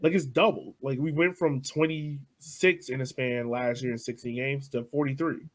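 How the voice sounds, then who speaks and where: A young man co-hosting a casual sports podcast, talking loosely with frequent laughs and soft trailing asides. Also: mid-range voice, fairly even intonation, normal speed. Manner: loose and chatty, laughs often, trails off softly. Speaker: podcast co-host.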